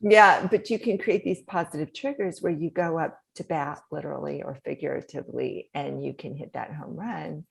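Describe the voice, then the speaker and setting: A middle-aged woman with a soft voice, talking as a podcast guest in an animated, coaching way, laughing often. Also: deep voice, moderate pace, creaky, hardly any pauses, slightly rough. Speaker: guest, author and speaker. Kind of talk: podcast interview talk.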